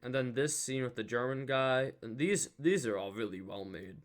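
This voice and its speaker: A man's voice: mid-range voice, moderate pace. A man hosting a reaction video with casual, rambling, emphatic commentary.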